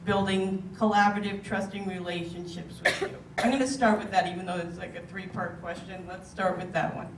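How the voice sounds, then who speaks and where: A middle-aged American woman moderates a live panel discussion. Her mid-pitched voice comes through a handheld mic in an echoey meeting hall. There is clear noise in the room. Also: steady tone, brisk. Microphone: handheld microphone. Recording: echoey noisy hall, distant sound.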